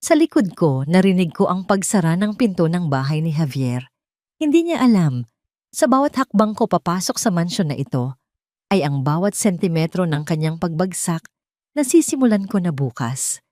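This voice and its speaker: A woman with a Filipino accent narrating a dramatic scripted story in the first person, solemn and deliberate. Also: lowish voice, big pitch swings, darkish tone, dry recording, clean studio sound.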